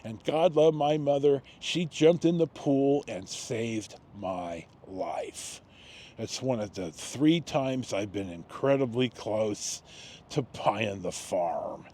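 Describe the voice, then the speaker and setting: An American man in his sixties telling a personal story casually, rambling with long pauses and self-corrections. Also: medium pitch, mellow. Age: 60s. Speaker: video storyteller. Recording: noticeable background noise.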